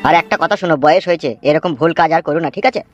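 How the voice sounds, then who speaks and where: A man voicing a calm village doctor in a cartoon, placating and lecturing. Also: on the high side, racing, no echo, highs cut off, lightly acted. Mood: admonishing. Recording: faint music behind the voice.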